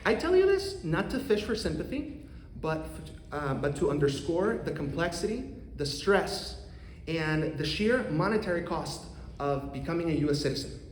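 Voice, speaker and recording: A man in his thirties from Costa Rica with a Costa Rican accent, an economics professor giving an earnest, measured prepared talk to a congregation. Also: fairly high pitch, sing-song, slight background noise. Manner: earnest, measured, with occasional restarts. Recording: roomy hall reverb, faint ambience.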